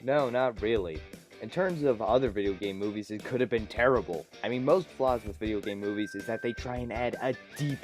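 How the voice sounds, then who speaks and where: A man presents a comedic countdown review in a mid-range voice. His delivery is sarcastic and self-deprecating, like a hobby video host reading his own jokes. Soft music plays behind him on an amateur recording. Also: mid-range voice, a little fast. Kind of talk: scripted comedic review commentary.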